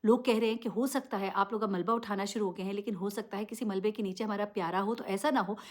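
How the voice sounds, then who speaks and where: Female vlogger, mid-pitched, for off-the-cuff news commentary; emphatic, direct, questioning delivery. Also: fairly even intonation, fast, darkish tone. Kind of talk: unscripted news commentary to camera.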